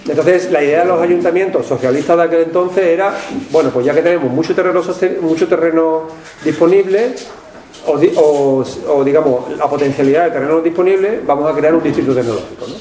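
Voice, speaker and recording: A man's voice: fairly high pitch, brisk, warm tone. A man from Seville with an Andalusian accent, an anthropologist presenting his book, rambling with fillers and tag questions. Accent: Andalusian Spanish with some Catalan tinge. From Seville, Spain. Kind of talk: public talk presentation. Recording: echoey room, faint noise.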